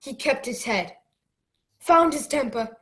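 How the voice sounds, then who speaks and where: A woman's voice: sits high, lively intonation, measured pace. A woman playing the earnest narrator of an adventure radio play, telling it as a dramatic memory. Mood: tense. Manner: dramatic storytelling.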